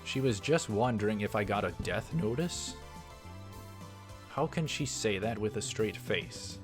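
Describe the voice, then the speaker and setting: A man's voice: lowish voice, lively intonation, unhurried, music in the background. A man playing a wary, exasperated young man in a visual novel, musing in a guarded way.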